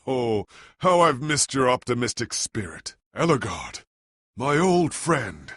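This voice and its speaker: An actor playing a noble, ageing adventurer hero in a video game, solemn and earnest, with a gravelly, husky voice and a grave, formal, dramatic delivery. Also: male voice, medium pitch, big pitch swings, slow, fairly bright tone, dry recording, clean studio sound, full character voice. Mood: warm.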